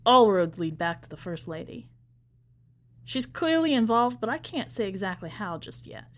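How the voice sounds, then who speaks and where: A woman's voice: medium pitch, very animated, moderate pace. A woman reading a first-person short story as a scripted narration for a podcast.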